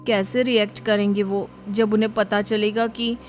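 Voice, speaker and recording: A woman's voice: mid-range voice, level tone, normal speed, soft background music, natural voice. A woman voicing an anxious, pleading young wife in a dramatized moral story. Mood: worried.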